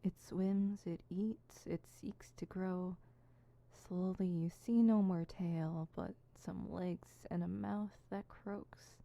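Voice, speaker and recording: A woman's voice: lowish voice, steady tone, slow pace, gravelly, warm tone. A woman playing an insecure, theatrical supervillain rehearsing monologues in an ASMR roleplay, switching between fumbling mutters and pompous recital. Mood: grandiose, brooding. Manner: fumbling self-conscious muttering broken by pompous verse recital. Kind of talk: ASMR roleplay, scripted solo audio drama.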